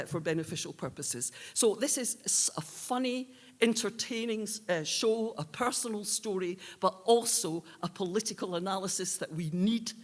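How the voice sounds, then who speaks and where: An older woman giving an earnest, halting but emphatic speech as a presenter at an awards ceremony. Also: fairly low pitch. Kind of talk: prepared awards speech, reflective political commentary. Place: large hall at an awards ceremony. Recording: echoey hall with audience noise.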